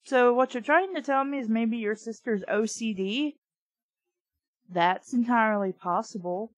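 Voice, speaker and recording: A young adult woman hosts a casual read-aloud with her own commentary. Her delivery is blunt and opinionated, at an unhurried pace. The sound is dry and close, with no room echo. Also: a little high. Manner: blunt, opinionated, swears freely. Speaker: host reading a children's book aloud with commentary.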